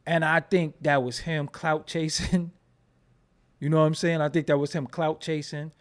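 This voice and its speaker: A man's voice: fairly high pitch, little pitch movement, moderate pace. A man with a New York accent giving loose, profane commentary and often asking "you know what I'm saying". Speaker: commentary vlogger. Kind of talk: casual commentary.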